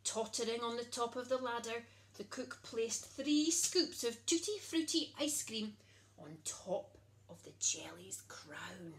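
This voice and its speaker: A woman, reading a children's picture book aloud in an animated storytelling style. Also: fairly high pitch, slight background noise. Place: small child's bedroom, indoors. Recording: echoey small room.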